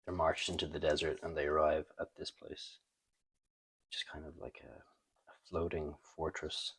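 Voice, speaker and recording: A man hosting a comic book review in a casual, unscripted way, thinking aloud with halting, trailing pauses. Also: extremely low pitch, level tone, a bit bright, husky. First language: not French. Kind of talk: casual unscripted show-and-tell commentary.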